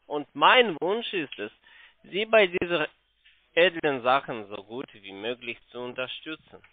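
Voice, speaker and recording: High-pitched foreign-accented man on tinny phone. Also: animated, dry recording. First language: not German. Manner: halting, broken German, frequent tag questions. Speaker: telephone sales caller pitching investments. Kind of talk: unscripted phone sales pitch. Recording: narrow tinny phone line.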